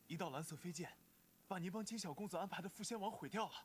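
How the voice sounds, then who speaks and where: A man playing a timid, flustered clan servant in an animated fantasy drama, anxious and deferential. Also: sits high, fast talker, close to an everyday voice. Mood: nervous.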